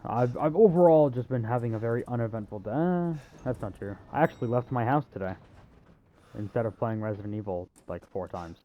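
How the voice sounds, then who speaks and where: A man's voice: mid-range voice, fairly quick, muffled recording, slight background noise. A young man giving casual, joking game commentary in a rambling, sarcastic way. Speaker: gamer.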